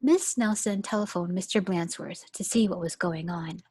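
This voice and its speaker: A woman teacher reading a picture book aloud to young children in an expressive storytelling way. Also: lowish voice, normal speed, breathy edge, no echo. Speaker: pre-kindergarten teacher.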